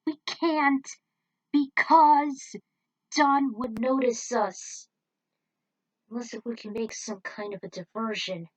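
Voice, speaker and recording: A woman's voice: fairly high pitch, fairly even intonation, takes it slowly, pauses often, dry recording, recorded from a distance, low-quality recording. A woman voicing anxious, earnest young heroines in a sci-fi adventure story. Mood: urgent.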